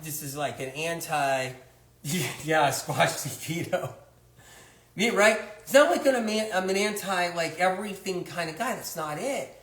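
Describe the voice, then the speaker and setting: A man's voice: high voice, a bit bright, reverberant. A man hosting a livestream monologue, emphatic and repetitive, firing rhetorical questions at viewers. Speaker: health author hosting a livestream.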